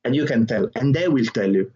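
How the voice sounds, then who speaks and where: A man from southern Italy with an Italian accent, a comedian answering interview questions in an animated way, laughing often and restarting his words. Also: medium pitch, normal speed, slightly rough. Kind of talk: conversational interview. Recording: dull lo-fi audio.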